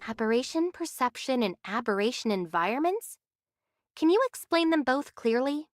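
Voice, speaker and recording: An actress playing an earnest, protective young heroine in an anime game, with a direct, questioning manner. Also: female voice, sits high, very animated, moderate pace, no room sound, studio quality. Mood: questioning, reasoning.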